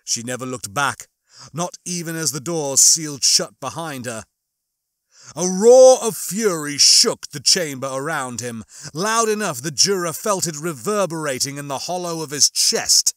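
A man reading a horror novel as an audiobook narrator, in a dramatic, emphatic style with drawn-out phrases. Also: on the high side, lively intonation, no room sound, clean studio sound. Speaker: audiobook narrator and channel host.

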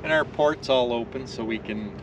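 A man's voice: medium pitch, normal speed, a little hiss or hum, rough recording. A middle-aged or older man talking casually and matter-of-factly as he shows his boat, recorded from a little way off. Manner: plain-spoken, matter-of-fact. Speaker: boat owner giving a tour. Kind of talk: casual chat. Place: sailboat, on deck and in small wooden cabin. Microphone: camera a couple of metres away.

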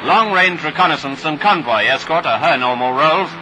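A man's voice: on the high side, animated, fairly quick, pushed and bright, slight background noise, rough recording. A British man narrating a scripted 1940s wartime training film in a jaunty, wry, clipped manner, on a vintage soundtrack.

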